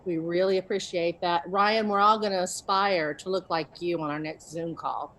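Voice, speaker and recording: A woman with a lower-pitched voice speaks as a co-organizer on a group video call. Her delivery is casual and conversational. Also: steady tone, moderate pace, fairly bright tone, low-quality recording. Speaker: co-organizer giving thanks.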